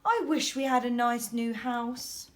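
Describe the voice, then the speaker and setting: A woman voicing a plaintive little mother mouse from a storybook, squeaky and pleading. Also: slight background noise, close to an everyday voice. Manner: drawn-out, pleading.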